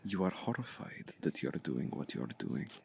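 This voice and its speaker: A man playing a seductive, domineering vampire hypnotist in an intimate ASMR roleplay, speaking in a hushed, deliberate, breathy voice that often slips toward a whisper. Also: very animated, slight background noise, heavily acted. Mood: seductive, coaxing. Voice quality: low, breathy, gravelly, often slipping into whisper. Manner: deliberate, commanding, coaxing with long pauses.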